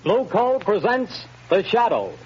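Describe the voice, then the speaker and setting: A man announcing a scripted advert in 1930s radio style, with a husky voice. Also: high-pitched, very animated, measured pace, a little mellow. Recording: muffled old radio recording, music.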